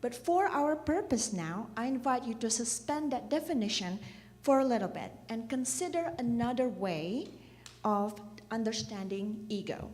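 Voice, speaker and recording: A middle-aged woman from Indonesia gives a reflective church message, speaking gently and asking the listeners questions. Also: fairly high pitch, lively intonation, normal speed. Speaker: children's program director giving a church message. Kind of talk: reflective sermon talk. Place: church hall. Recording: reverberant church hall.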